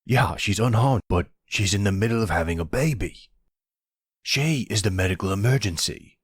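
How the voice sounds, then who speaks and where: Man acting a nervous young bro, stammering and husky. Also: on the low side, lively intonation, moderate pace, no room sound, studio quality. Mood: urgent. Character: panicky dim young bro-talking reluctant kidnapper. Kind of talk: audio roleplay acting.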